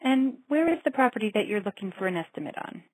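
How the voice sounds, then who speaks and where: A woman's voice: mid-range voice, very animated, fast talker, darkish tone. A woman taking a call in a polite customer service style on a recorded phone line. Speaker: call taker.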